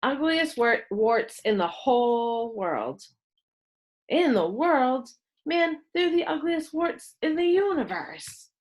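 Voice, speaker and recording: Woman as jeering schoolyard boy, swooping pitch. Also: very animated, slow, exaggerated voice. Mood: disgusted mockery. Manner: jeering, exaggerated disgust. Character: mocking boys of a schoolyard gang, mean and gleeful.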